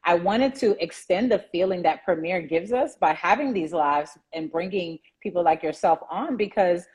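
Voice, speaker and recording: Woman host, low-pitched and husky, encouraging interviewer. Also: expressive, moderate pace, a little mellow. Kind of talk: casual interview.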